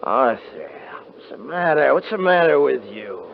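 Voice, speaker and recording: A tense rancher, mid-pitched, mutters to himself, old radio play, muffled sound, steady hiss. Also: male voice, measured pace. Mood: gruff. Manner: muttering to himself, gruff and scornful with his brothers. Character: tense young rancher man, alone in the snow hunting a panther. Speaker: lead actor in a radio play. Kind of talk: 1950s radio drama acting. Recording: hissy muffled 1950s radio broadcast.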